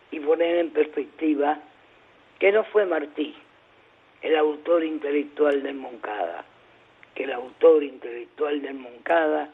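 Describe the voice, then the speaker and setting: A woman hosting a political talk show, speaking in a measured, formal way with frequent "eh" pauses. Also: low-pitched, slightly rough. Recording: tinny phone-like broadcast audio.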